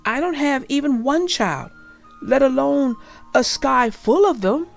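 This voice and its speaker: Woman playing puzzled storybook man, fearful, pitched up, questioning, emphatic. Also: sing-song, measured pace, gravelly, faint music behind, full character voice. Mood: bewildered. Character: bewildered, fearful childless man in Bible storybook.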